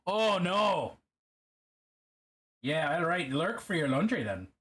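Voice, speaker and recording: A man with a high, bouncy voice chats on a live stream while he paints. He reads messages aloud in a loose, joking way. Now and then he drifts playfully into a mock Irish accent. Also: big pitch swings, moderate pace, a bit bright.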